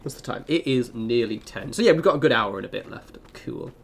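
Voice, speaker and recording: A young man with a mid-pitched voice talks to his livestream audience. He is casual and chatty, rambling through stories and breaking off mid-thought to start again. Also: a little fast, creaky, slightly rough. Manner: rambling, animated storytelling with self-interruptions. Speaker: game livestreamer. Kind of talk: casual livestream chat over gameplay.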